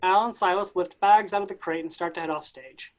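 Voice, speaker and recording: A woman's voice: on the low side, fairly quick. A woman reading out stage directions as scripted narration for a play reading.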